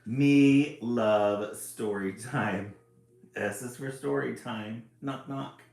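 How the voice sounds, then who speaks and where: A man's voice: medium pitch, very slow, creaky, a little mellow, very echoey, soft background music, voice pushed up. An eager childlike alphabet book, as a man voices it. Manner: broken childlike grammar, eager.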